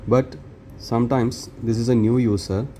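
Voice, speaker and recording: A man presenting an unscripted step-by-step tech tutorial in halting, short phrases with non-native phrasing. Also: mid-range voice, fairly even intonation, warm tone, a little hiss or hum.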